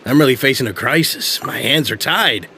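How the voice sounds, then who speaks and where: An actor playing a weary, resigned businessman in a video game, gravelly and apologetically dismissive. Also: medium pitch, animated, moderate pace, bright, forceful tone, slight background noise. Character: weary, resigned businessman, a male investor backing out. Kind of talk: video game cutscene acting.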